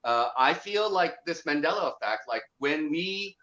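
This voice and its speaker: A man's voice: a little high, expressive, measured pace, bright, forceful tone. Hesitant man, dull lo-fi sound. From America. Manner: thoughtful, hesitant, many fillers. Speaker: guest. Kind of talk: casual interview talk.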